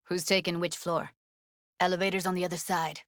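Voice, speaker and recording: A woman's voice: fairly low pitch, little pitch movement, a little fast, dry recording, studio quality, close to an everyday voice. An actress voicing a tough, guarded young woman techie in a cyberpunk video game, speaking in casual, clipped slang with a wry edge. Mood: matter-of-fact. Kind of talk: naturalistic video game dialogue, isolated voice lines.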